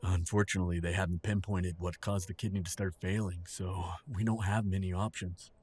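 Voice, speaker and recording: An older husband and father in an audio drama, played by a man. His voice is deep and rough around the edges, steady and reassuring, with a gently teasing streak. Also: brisk. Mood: sober.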